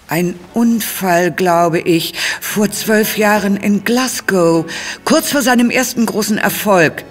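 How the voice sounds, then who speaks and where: An actress playing a kindly, doting, gossipy old cook in a radio play. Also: female voice, on the low side. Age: older. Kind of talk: German radio play acting.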